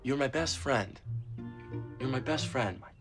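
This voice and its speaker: A man's voice: medium pitch, lively intonation, a little slow, over music, natural voice. An actor playing a young aspiring actor who is dramatic and easily exasperated with his friends. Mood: grieving, theatrical.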